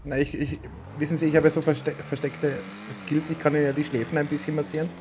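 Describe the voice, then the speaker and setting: Chatty Austrian man, quick and high. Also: level tone, darkish tone. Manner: polite, chatty, talks with a put-on Viennese lilt. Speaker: roleplay streamer. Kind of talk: in-character roleplay chat. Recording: game car noise behind.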